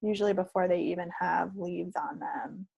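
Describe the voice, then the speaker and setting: A woman's voice: on the low side, fairly even intonation, moderate pace, vocal fry, darkish tone, slightly rough, no room sound, lo-fi sound. A woman co-hosting a podcast, chatting casually in a playful, wry way. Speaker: podcast co-host. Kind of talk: casual chat.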